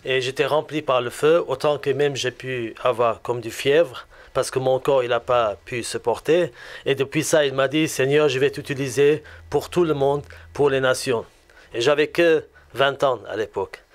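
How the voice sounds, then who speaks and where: A man from Lebanon with a Lebanese accent, giving a personal religious testimony in a halting way that often circles back on itself. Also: mid-range voice.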